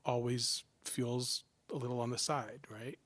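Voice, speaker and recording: A middle-aged man from Southern California, a psychology professor telling stories as a guest in a casual interview, joking at his own expense with quick asides. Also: mid-range voice, no echo. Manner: animated, self-deprecating jokes, quick asides.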